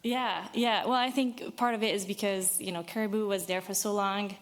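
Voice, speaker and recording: A woman's voice: medium pitch, fairly even intonation, moderate pace. A woman in her thirties from France, originally from Brittany, speaking earnestly and a little nervously at a public meeting, with many fillers. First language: French. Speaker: business owner addressing a city board.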